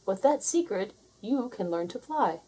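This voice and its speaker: A woman voicing a wise old male owl in a children's storybook, solemn and patient, speaking in a measured, instructive manner. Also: medium pitch, animated, warm tone, breathy edge, rough recording.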